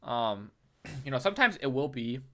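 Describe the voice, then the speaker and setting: A man who is sick and sounds a bit nasal, casually breaking down a game replay as a video host, often clearing his throat. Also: medium pitch, sing-song, moderate pace, pushed and bright. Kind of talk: casual game analysis commentary.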